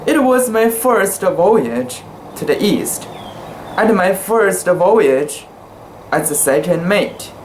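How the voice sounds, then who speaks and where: Slow, halting amateur story reader. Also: medium pitch, lively intonation, a little hiss or hum, rough recording. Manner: halting, careful word-by-word delivery with stumbles. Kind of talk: dramatic reading of a literary short story.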